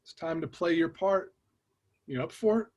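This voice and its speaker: Father figure, male, played by a man: proud, commanding, measured, lighter higher pitch, drama read. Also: a little mellow, lo-fi sound, natural voice. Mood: resolute. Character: proud, quietly commanding father of a grown son. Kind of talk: scripted drama table read.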